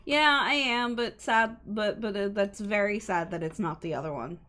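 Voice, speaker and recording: A woman's voice: medium pitch, moderate pace, pushed and bright. A woman livestreamer talking casually and playfully, often swearing, as she hosts a game stream. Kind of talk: casual livestream chat with some game text read aloud.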